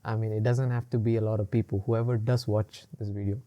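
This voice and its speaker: A man's voice: lowish voice, level tone, fast talker, dull audio. A man co-hosting a casual podcast, rambling along with lots of fillers and laughing often. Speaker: podcast co-host. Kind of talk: casual chat.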